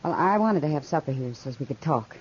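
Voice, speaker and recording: A woman's voice: low voice, expressive, quick pace, darkish tone, breathy edge. A woman playing a worldly, concerned frontier woman with an American accent, earnest and confiding, in 1950s radio western style. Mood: troubled. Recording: dull vintage radio recording.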